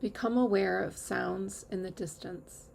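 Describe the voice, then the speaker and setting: A woman teacher leading a guided meditation with calm, measured instructions and long pauses. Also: mid-range voice, creaky, slight background noise. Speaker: yoga nidra teacher.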